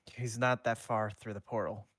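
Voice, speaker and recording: A man's voice: on the low side, level tone, moderate pace, a bit bright, no room sound. A man voicing a weary, earnest teenage boy who fights ghosts while reading a story aloud. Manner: reads dialogue in his own voice.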